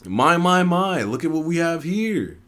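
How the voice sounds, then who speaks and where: A man's voice: on the high side, very animated, unhurried, fairly bright tone, pitched above the performer's own voice. A man voicing a coy, mocking woman who loves to tease, with a sing-song manner, in a story reading. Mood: mocking surprise.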